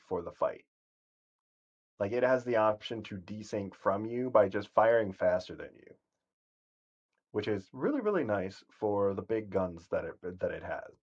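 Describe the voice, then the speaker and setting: A man talks through a game stream in a calm, soothing voice that drifts and corrects itself as he goes. His voice sits low, and the stream audio is dull and lo-fi. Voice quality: soothing, sleep-inducing voice. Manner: calm, rambling, self-correcting. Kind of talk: casual gameplay commentary.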